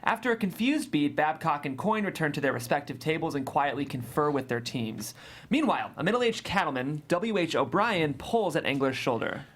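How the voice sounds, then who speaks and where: A narrator reading scripted stage directions at a table read in a deliberate, descriptive way. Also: medium pitch, sing-song.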